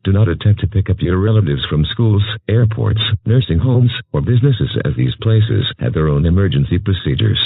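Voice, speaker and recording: A man announces an emergency alert in a low, gravelly voice with lively pitch movement. His reading is formal, impersonal and synthetic. It comes through a tinny, phone-like broadcast channel. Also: normal speed, hardly any pauses, no echo.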